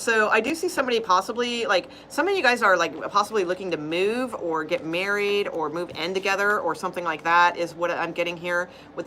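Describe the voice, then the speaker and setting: A woman's voice: mid-range voice, sharp tone, a little hiss or hum, poor audio. A woman doing a casual, rambling live tarot reading, laughing often and losing her voice. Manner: rambling, chatty, laughs often, frequent fillers. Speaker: tarot reader.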